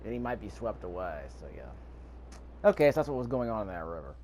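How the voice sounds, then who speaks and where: A man's voice: on the low side, sing-song, fast talker, a little mellow. A man giving offhand, rambling gameplay commentary and reading prompts aloud as he plays. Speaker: gaming video host. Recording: faint noise behind the voice.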